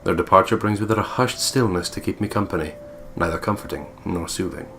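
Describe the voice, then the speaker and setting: A man reading visual novel text aloud as a deliberate, storyteller-like narrator. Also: low voice, creaky, fairly bright tone, slight background noise. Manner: deliberate, storyteller-like, playful putting on of voices. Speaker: gaming video co-player reading the text aloud. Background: game music.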